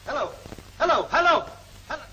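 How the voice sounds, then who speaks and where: A man's voice: extremely high pitch, big pitch swings, a little fast. An actor playing an agitated, indignant businessman in an old film serial. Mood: alarmed. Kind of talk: 1940s film serial acting. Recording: noisy old film soundtrack.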